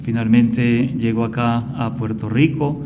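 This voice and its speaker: A man from Colombia, a priest guest on a radio interview, explaining at length in a calm, storytelling way. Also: medium pitch, a little slow, background noise.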